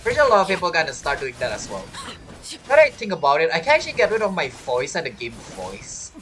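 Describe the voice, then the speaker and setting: Animated man rambling over gameplay. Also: fairly high pitch, fast talker, slight background noise, poor audio. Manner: rambling, musing. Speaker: game streamer. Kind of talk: casual gameplay commentary, thinking aloud. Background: faint game music.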